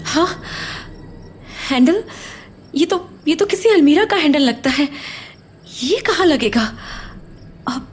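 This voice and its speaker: A woman's voice: sits high, expressive, slow, long pauses, soft background music. A woman playing an anxious, loving young mother in an audio horror drama, speaking hesitantly and repeating her words with emotion. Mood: puzzled, searching.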